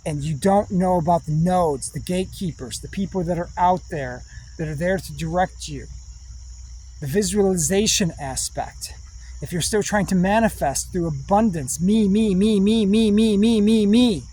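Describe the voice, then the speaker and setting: A man giving a rambling spiritual monologue in slow, drawn-out, meditative phrases with long pauses. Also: high voice, warm tone, slightly rough, poor audio. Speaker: spiritual speaker.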